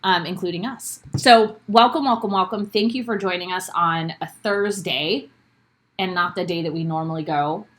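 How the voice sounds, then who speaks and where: A woman's voice: lowish voice, moderate pace, a bit bright. Young woman, co-host, casual chat, upbeat, laughs a lot, home bedroom. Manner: upbeat, greets chat viewers by name, laughs often. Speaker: podcast co-host. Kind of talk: casual recap chat, live stream.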